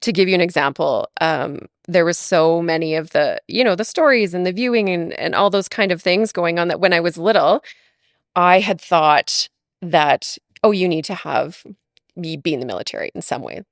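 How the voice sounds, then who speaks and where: Alberta woman, low voice, laughs a lot while she talks, fillers everywhere. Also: normal speed, no room sound, studio quality. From Alberta, Canada. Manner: chatty, laughs often, many fillers. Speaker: podcast guest. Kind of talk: casual interview chat.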